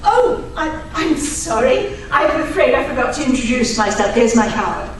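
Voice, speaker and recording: Heard from far off in a very echoey hall, a woman plays a genteel lady archaeologist in a radio play. She is eager, polite and earnest, with a fairly high voice over faint noise. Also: big pitch swings, moderate pace. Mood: earnest, proud. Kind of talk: old-time radio drama acting on stage.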